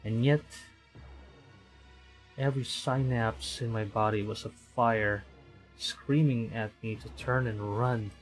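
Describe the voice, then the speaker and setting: A man's voice: on the low side, fairly even intonation, takes it slowly, rough recording. A man from the Philippines livestreaming a game, reading aloud theatrically and reacting to it. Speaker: streamer. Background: game music.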